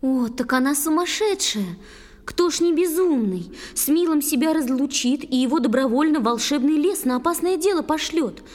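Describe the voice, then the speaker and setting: An actress playing a tender, jealous young water sprite, speaking expressive verse in a children's audio play. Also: female voice, high-pitched, normal speed. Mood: jealous indignation. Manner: expressive, dramatic. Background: faint music.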